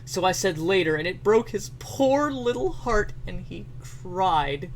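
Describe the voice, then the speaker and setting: Man putting on a shrill, enraged entitled mom. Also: expressive, measured pace, a little hiss or hum, rough recording. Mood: wounded, whiny. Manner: shrill, dramatically overwrought ranting. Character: enraged ranting entitled mother, foul-mouthed and whiny.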